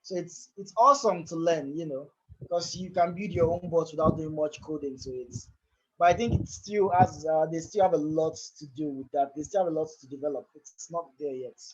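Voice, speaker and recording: Husky high-voiced man giving a tutorial. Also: brisk, muffled recording. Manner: loose, conversational, repeats and restarts phrases. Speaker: presenter. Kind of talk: live screen-share software tutorial.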